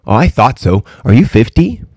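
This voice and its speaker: A man's voice: medium pitch, very animated, moderate pace, a little mellow, slightly rough, no room sound, studio quality, voice pushed up. A man voicing a curious, eager little princess, an innocent girl full of questions. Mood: eager, inquisitive.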